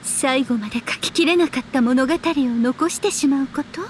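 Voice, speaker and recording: A woman playing a gentle, wistful storybook author in a game, speaking in a measured, reflective way with breathy pauses. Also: high-pitched, slight background noise, lightly acted. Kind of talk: Japanese game story voice acting.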